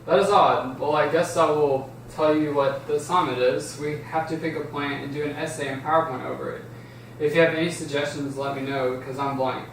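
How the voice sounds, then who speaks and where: A man's voice: on the high side, fairly even intonation, brisk, close to an everyday voice. A man playing a shy, nervous teenage boy who is eager for friendship, in an amateur script read. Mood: casual, friendly. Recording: echoey room, distant mic, faint noise.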